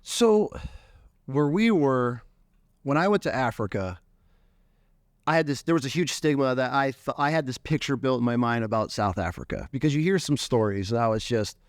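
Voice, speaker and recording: A man's voice: medium pitch, expressive, moderate pace, no room sound, clean studio sound. An American man hosting a podcast, asking questions eagerly in loose, restarting sentences. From United States. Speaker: podcast host, hunting guide. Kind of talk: casual chat.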